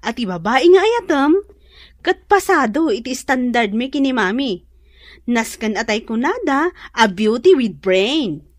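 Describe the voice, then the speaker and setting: High, bubbly younger sister, radio drama. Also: female voice, big pitch swings, a little slow, no echo, clean studio sound. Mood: bubbly, teasing. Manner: chirpy, emphatic. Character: bubbly, picky younger sister giving her approval.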